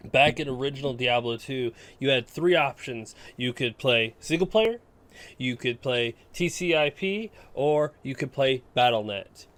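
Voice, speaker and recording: A man's voice: medium pitch, expressive, takes it slowly, fairly bright tone. A man chatting casually as a podcast co-host, blunt and given to mild swearing. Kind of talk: casual gaming chat.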